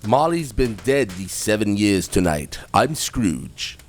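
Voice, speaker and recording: Man acting a gruff old miser with swooping pitch. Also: sing-song, slow pace, a little hiss or hum, heavily acted. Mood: scornful. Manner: gruff, scornful, curt. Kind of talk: radio play acting.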